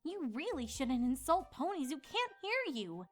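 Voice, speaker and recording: A woman's voice: sits high, very animated, measured pace, soft background music. A woman voicing an earnest, easily indignant young female cartoon unicorn in an insistent, bristling manner. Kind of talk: cartoon fan-fiction voice acting.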